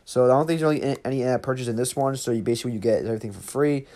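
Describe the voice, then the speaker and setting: A man's voice: mid-range voice, racing, warm tone. Casual man hosting an app tutorial. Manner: informal, rambling, addressing viewers as guys. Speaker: tutorial host.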